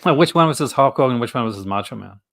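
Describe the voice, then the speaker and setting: Brief: a man co-hosting a casual live-stream chat, mid pitch, rambling, laughs a lot. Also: lively intonation, gravelly, fairly bright tone. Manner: rambling and laughing often, breaks into quick character voices. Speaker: co-host. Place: home setup for a live stream. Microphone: desk mic for a live stream.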